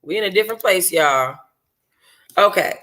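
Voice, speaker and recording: A woman's voice: fairly low pitch, lively intonation, normal speed, pushed and bright. A woman hosting a book club, reading aloud casually with informal, sassy, blunt asides.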